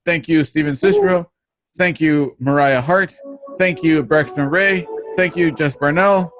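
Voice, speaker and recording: A man hosting an online show, giving a casual, halting sign-off with plugs and thanks. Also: on the high side, big pitch swings, a bit bright, soft background music. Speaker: host of an online radio drama show.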